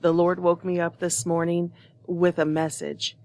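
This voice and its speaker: A hoarse woman speaking earnestly and without preparation, delivering a prophetic message straight to her viewers. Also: lowish voice, completely flat delivery, unhurried, darkish tone. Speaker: online prophecy channel host. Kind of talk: prophetic video monologue.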